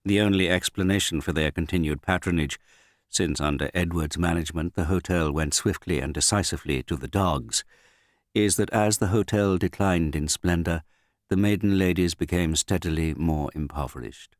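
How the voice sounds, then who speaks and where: Very deep-voiced man reading a novel. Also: moderate pace, dry recording, clean studio sound. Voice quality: finely modulated. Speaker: audiobook reader. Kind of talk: scripted literary narration of a novel.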